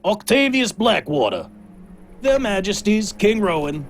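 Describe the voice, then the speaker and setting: An actor playing a formal royal bailiff in an audio drama, making a slow, ceremonial proclamation. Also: male voice, sits high, very animated, slight background noise, heavily acted.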